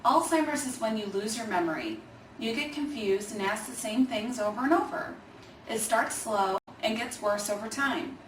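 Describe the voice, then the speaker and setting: An earnest, caring young girl from a children's storybook, played by a woman reader, speaks expressively in a fairly high voice. The recording is roomy, with the mic at a distance. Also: animated, normal speed, a little hiss or hum, lightly acted. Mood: explaining.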